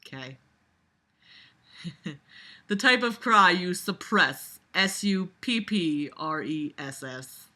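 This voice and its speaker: A woman's voice: on the low side, animated, slow pace, pauses often, pushed and bright. A woman giving casual, sarcastic commentary as she reads a book aloud, blunt and exasperated, swearing freely. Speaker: host reading a book aloud and critiquing it. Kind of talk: casual commentary mixed with mocking read-aloud.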